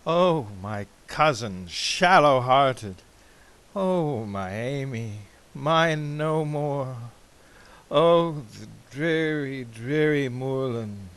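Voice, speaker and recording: A man giving a dramatic poetry recitation in a measured, theatrical, expressive way, with drawn-out phrases. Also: mid-range voice, smooth. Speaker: poetry reader.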